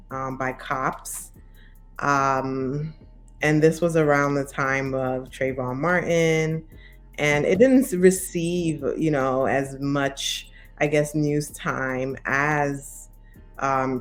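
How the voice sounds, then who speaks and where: A woman in her thirties from Brooklyn speaks thoughtfully in an interview, pausing often with fillers. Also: rumbling low. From Brooklyn, by way of Saint Vincent. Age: 30s. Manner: thoughtful, with many pauses and fillers. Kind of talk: reflective interview.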